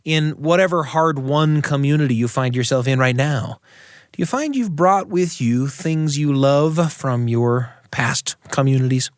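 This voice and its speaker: A man hosting a family radio show, telling personal stories in a reflective way with thoughtful pauses. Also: mid-range voice, no room sound, clean studio sound. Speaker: radio show host and storyteller.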